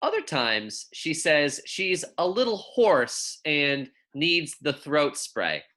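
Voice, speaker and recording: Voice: medium pitch, very animated, slow, bright, forceful tone. A teacher giving a read-aloud lesson for young children in a friendly, informal, encouraging way. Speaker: elementary school teacher.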